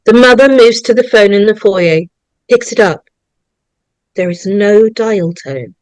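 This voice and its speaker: Woman narrator, unhurried pace, dry close sound, suspenseful horror script reading, short deliberate phrases. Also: mid-range voice, darkish tone, no room sound.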